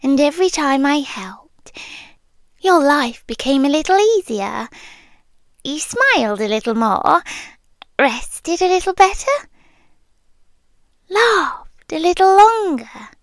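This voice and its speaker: A woman with a British accent playing a possessive, lovestruck monster girlfriend in an intimate ASMR roleplay, often dropping into breathy whispers. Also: high-pitched, animated, no room sound, heavily acted. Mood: tender, adoring. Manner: slow, breathy, often whispering, intense and tender. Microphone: close ASMR microphone, dry.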